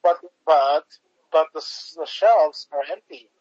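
Man, high-pitched co-host, halting delivery with stacked fillers, dry lo-fi sound. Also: a little mellow, no echo. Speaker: podcast co-host. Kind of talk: casual political chat.

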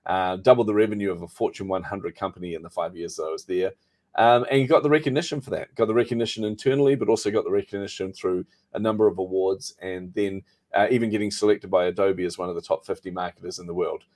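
Middle-aged New Zealand man, low-pitched guest voice, chatty and self-deprecating. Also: steady tone, fast, a little mellow. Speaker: podcast guest, marketing coach. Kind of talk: relaxed interview storytelling.

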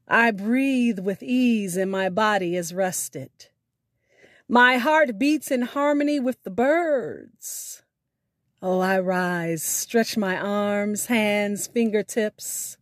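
A woman hosting a podcast, reading scripted announcements in a deliberate, carefully enunciated way. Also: medium pitch, no room sound, clean studio sound. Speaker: podcast host.

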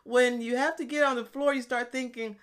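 A woman's voice: fairly high pitch, fairly even intonation, fairly quick. Chatty fifties woman, light voice. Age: 50s. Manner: chatty and self-deprecating, laughs often. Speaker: online fitness and nutrition coach. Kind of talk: casual solo podcast talk.

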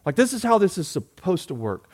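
A man, preaching a sermon in a folksy, joking way, rising loud and dropping soft. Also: high-pitched, very animated, fairly quick. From Georgia. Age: 40s. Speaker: pastor.

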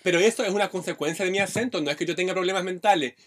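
A man's voice: high-pitched, racing. A man from Brazil with a Brazilian accent, co-hosting a podcast in casual chat with a clipped, choppy way of talking. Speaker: podcast co-host, comedian.

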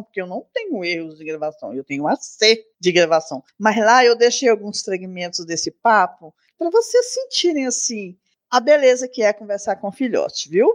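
A woman in her sixties hosting her own podcast alone, talking in a chatty, affectionate way that wanders into asides. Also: mid-range voice. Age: 60s. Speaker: podcast host. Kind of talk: casual solo podcast chat.

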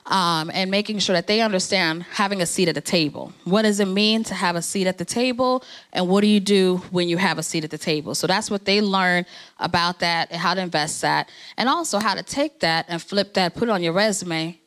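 A woman's voice: fairly low pitch, a little fast, fairly bright tone. A woman from New Jersey, the president of an organization, giving a conversational, encouraging speech at a networking event. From New Jersey, USA.

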